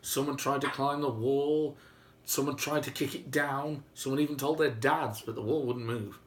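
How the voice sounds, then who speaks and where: A man's voice: medium pitch, fairly even intonation, moderate pace, slight background noise. A man retelling a fairy tale aloud in a theatrical, droll, conversational storytelling style. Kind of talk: animated oral retelling of a fairy tale with playful asides.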